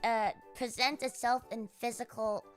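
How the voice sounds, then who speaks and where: A woman's voice: fairly high pitch, steady tone, unhurried, soft background music. A young woman with an Australian accent, chatting casually on a live stream and answering comments with sarcastic, swear-heavy banter and frequent laughter.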